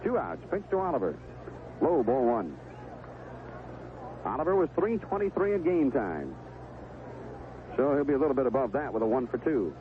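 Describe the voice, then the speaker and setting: A man's voice: mid-range voice, very animated, moderate pace, long pauses, narrow, tinny audio, a little hiss or hum. An American man calling baseball play-by-play in a live radio commentary style, on an old 1970s broadcast. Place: stadium broadcast position.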